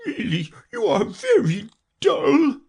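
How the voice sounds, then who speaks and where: High, sobbing old turtle. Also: male voice, wildly swooping pitch, vocal fry, a little mellow, exaggerated voice. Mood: indignant. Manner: slow, drawn-out, sobbing, self-pitying delivery. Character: mournful, touchy old male sea turtle, sighing and tearful. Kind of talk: storybook character voice.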